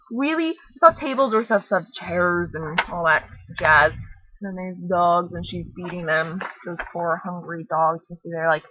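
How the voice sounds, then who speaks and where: A woman with a slightly husky voice casually reading a picture book aloud, rambling into playful, mock-dramatic chatty asides on a laptop microphone. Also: medium pitch. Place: at home, close to a laptop on a bed. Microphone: laptop webcam microphone. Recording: muffled lo-fi laptop webcam audio.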